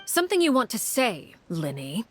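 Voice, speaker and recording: Cold, measured woman leader. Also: on the high side, huge pitch swings. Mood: calm command. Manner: measured, formal, menacing. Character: stern, cold woman leader addressed as Father. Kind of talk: video game voice acting.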